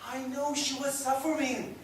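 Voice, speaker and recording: A man acting a passionate, boastful, unrepentant young womanizer in a theatrical stage monologue, swinging between hushed murmurs and loud declarations. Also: very high voice, flat delivery, moderate pace. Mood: bitter, agitated. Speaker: actor. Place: echoey indoor room, recorded from a distance. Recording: echoey room, distant mic, faint noise.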